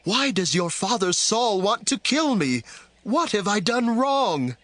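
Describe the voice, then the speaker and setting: A man's voice: high-pitched, very animated, measured pace, fairly bright tone, dry recording, heavily acted. A man playing an anxious, earnest young fugitive pleading in a dramatized audiobook.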